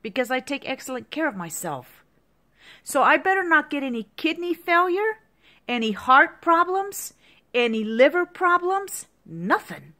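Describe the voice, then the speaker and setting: A woman's voice: high voice, sing-song, unhurried, sharp tone. A woman in her fifties in an agitated, repetitive rant to the camera, heavy with profanity. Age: 50s. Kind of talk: angry monologue to camera.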